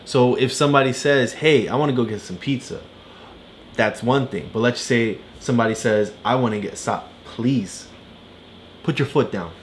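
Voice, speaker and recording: A man's voice: mid-range voice, normal speed, a little hiss or hum. A man, a father, casually and patiently explaining things to his child, checking with questions as he goes.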